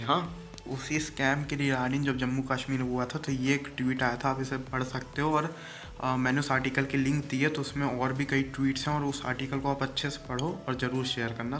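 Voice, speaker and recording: A man's voice: medium pitch, a bit bright. A man with an Indian accent talking casually to viewers as a vlogger, explaining things directly and mixing in English words.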